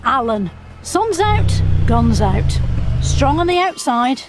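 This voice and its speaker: A woman reading scripted advert narration, in a played-back clip with loud noise. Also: high-pitched, very animated, takes it slowly.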